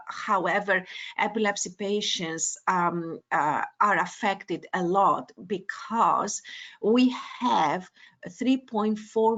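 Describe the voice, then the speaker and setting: A woman neurologist from Greece delivers a webinar lecture, her English carrying a Greek accent. She speaks slowly, with steady mid-range pitch, and fills her pauses with frequent uh and um. The sound is that of a video call. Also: highs cut off. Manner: hesitant, many uh and um fillers.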